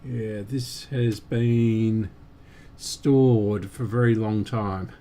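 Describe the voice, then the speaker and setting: A man with a mellow, mid-pitched voice hosts a hands-on repair stream. He speaks slowly and haltingly, half muttering to himself as he works. There is faint noise in the background. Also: fairly even intonation. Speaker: host of an electronics repair stream. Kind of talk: unscripted workbench commentary while working.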